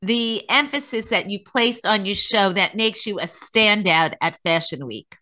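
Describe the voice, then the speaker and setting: Older grandma host lady, bright voice, hyping up her guest. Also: mid-range voice, measured pace. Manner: enthusiastic, promoting the guest. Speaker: talk show host. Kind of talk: interview hosting.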